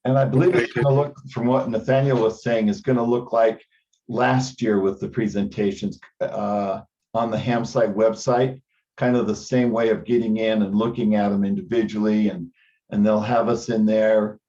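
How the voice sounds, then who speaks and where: A man's voice: lowish voice, fairly even intonation, moderate pace, warm tone. A man speaking informally in a meeting, often repeating a word as he goes, over conference call audio. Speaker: teleconference participant. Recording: dull band-limited conference call audio.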